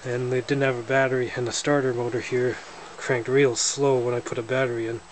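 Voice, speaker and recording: A man casually showing off his snowmobile in a garage, talking in a relaxed, matter-of-fact way while filming with a handheld camera. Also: mid-range voice, a little fast. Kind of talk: casual walkaround narration. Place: indoors, a garage or shed with windows. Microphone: handheld camera held by the speaker. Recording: noisy handheld camera audio.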